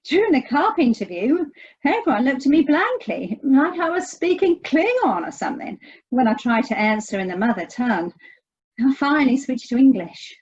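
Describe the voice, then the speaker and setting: A woman's voice: high-pitched, very animated, normal speed, husky, muffled recording, exaggerated voice. An actress in an audio play playing an anxious, sardonic American woman searching for her estranged sister. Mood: wry, unsettled.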